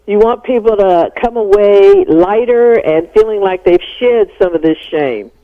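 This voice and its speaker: A woman's voice: mid-range voice, big pitch swings, a little slow, vocal fry. A middle-aged American woman explaining things as a psychologist guest in a radio interview. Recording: narrow-band phone-like radio sound.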